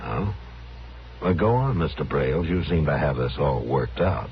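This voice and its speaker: A calm western marshal, super deep voice, unhurried and firm, muffled old radio sound. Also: male voice, a little hiss or hum. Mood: dry, firm. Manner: laconic, unhurried. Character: calm, firm frontier marshal, adult man, laconic. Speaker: lead actor in a radio western.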